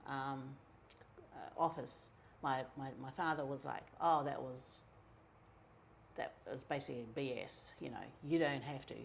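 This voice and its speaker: A middle-aged woman from New Zealand with a New Zealand accent, telling her own story in a relaxed, chatty way, with many ums and asides. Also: very deep voice, a little slow, creaky, a little hiss or hum. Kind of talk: casual personal storytelling.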